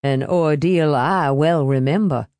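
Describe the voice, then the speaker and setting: A woman voicing a smooth, pious, grandiloquent man in a storybook reading, with a slow and pompous manner. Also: deep voice, a little mellow, no echo. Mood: solemn. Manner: slow, pompous, scripture-quoting.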